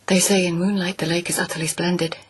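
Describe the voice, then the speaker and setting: An actress playing a shrewd court lady in a radio drama, who questions others with quiet, ironic persistence. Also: lowish voice, little pitch movement, quick pace, a little hiss or hum, close to an everyday voice. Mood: probing.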